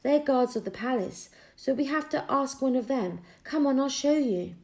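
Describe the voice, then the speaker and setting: A woman's voice: sits high, lively intonation, normal speed, a little mellow, voice pushed up. A woman voicing a bold, earnest young child in a children's audiobook, explaining things and urging a friend on. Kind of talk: children's audiobook character dialogue.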